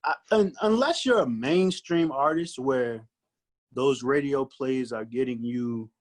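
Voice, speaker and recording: A man's voice: mid-range voice, unhurried. Emphatic man in a casual podcast chat. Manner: opinionated, emphatic. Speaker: guest recording artist. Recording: dull lo-fi audio.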